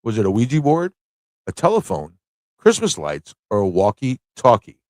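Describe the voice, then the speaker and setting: A man's voice: lowish voice, huge pitch swings, moderate pace, darkish tone, no room sound. Casual man hosting, close dry sound. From United States. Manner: conversational, reads questions aloud and repeats them. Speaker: livestream host running a trivia quiz. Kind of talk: casual live quiz hosting, chatting with viewers.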